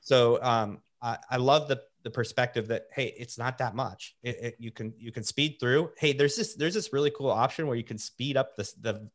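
A man's voice: medium pitch, moderate pace, few pauses. A man hosting a casual interview, upbeat and often restarting his sentences. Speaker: host interviewing gym coaches.

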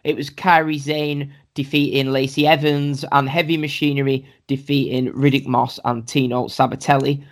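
A host talks through a wrestling show in a loose, chatty podcast style. The voice sits at an ordinary middle pitch and keeps turning to the co-hosts for agreement. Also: little pitch movement, moderate pace, hardly any pauses. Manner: chatty, rundown of matches with asides to co-hosts. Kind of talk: casual wrestling podcast chat.

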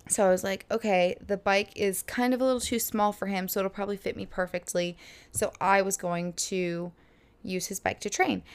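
A woman in her twenties from Florida, telling a casual story in a chatty, lively way with many fillers and laughs. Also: medium pitch, smooth. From Florida, USA. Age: 20s. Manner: chatty, animated, lots of "like" and laughs. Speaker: vlogger.

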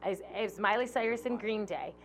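A woman's voice: medium pitch, fast talker, slight background noise. A woman in her late twenties hosting a podcast, telling a story in a chatty, casual way and swearing freely. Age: 20s. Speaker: podcast host. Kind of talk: casual chat.

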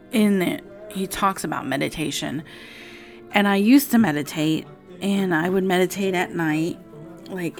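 Raspy woman rambling over faint music. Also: fairly low pitch. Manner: rambling, meandering with many fillers. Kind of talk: casual personal vlog monologue. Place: home with TV music in another room.

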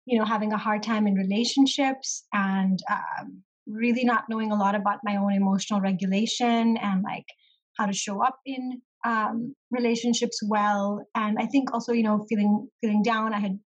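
Soft-spoken woman with a husky voice. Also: medium pitch, fairly even intonation, lo-fi sound. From Pakistan. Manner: thoughtful, many ums and soft pauses. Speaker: therapist, interview guest. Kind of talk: casual interview conversation.

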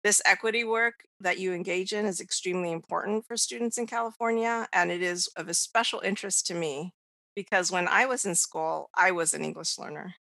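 A woman's voice: fairly low pitch, fairly even intonation, brisk, gravelly, pushed and bright, no echo. A woman from the United States hosting a podcast, giving prepared introductions. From United States, child of Mexican immigrants. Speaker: podcast host.

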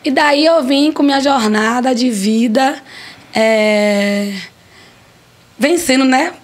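A woman's voice: high voice, takes it slowly. Joking woman in her forties. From Brazil. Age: 40s. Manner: lively, joking, emphatic storyteller. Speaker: restaurant owner guest. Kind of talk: casual podcast interview.

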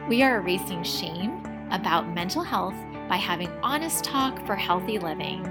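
A woman's voice: fairly low pitch, animated, normal speed. A woman reading a scripted podcast introduction as its announcer. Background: loud music.

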